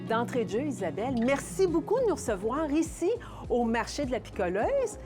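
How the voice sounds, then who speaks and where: A woman's voice: fairly high pitch, sing-song, fairly quick, a little mellow, soft background music. A woman with a Quebec French accent hosting a local TV magazine, speaking in a polished, enthusiastic presenter style. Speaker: TV host and interviewer.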